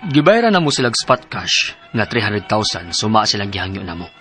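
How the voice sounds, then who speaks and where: A man with a Cebuano Filipino accent acting an eager, trusting husband in a radio drama, animated and exclamatory. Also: on the low side, a little fast, music in the background. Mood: earnest narrating. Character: eager, trusting husband and father, small investor.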